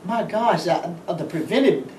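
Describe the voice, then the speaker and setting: A woman's voice: on the low side, animated, moderate pace, warm tone. A woman, hosting an interview with lots of enthusiastic exclamations. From Nashville. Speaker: interview host. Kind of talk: interview chat. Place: office with bookshelves, indoors. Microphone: far camera. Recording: roomy, distant, faint noise.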